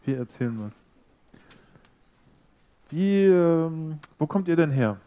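A man's voice: a little high, animated, slow, dark tone, clean tone. A man co-hosting a showcase, casually introducing the next team. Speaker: co-host. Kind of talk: moderating a project showcase.

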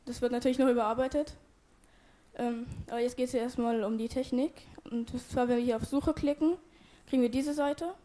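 A woman's voice: a little high, steady tone, fairly quick, dark tone. A woman presenting her team's web project and giving a live demo, with many hesitant fillers. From Germany. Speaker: student presenter.

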